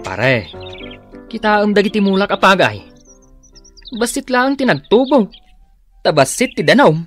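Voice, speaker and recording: Lively Ilocano village husband. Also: male voice, high-pitched, measured pace, soft background music, full character voice. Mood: doubtful. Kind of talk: Ilocano radio comedy-drama acting.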